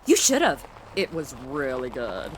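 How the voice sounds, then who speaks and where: A woman's voice: a bass voice, wildly swooping pitch, measured pace, slight background noise, heavily acted, low-quality recording. A woman playing a ditzy, cheeky, meddling young sidekick in a comedic audio drama, blithely clueless and exaggerated. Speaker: comic sidekick, star of the show.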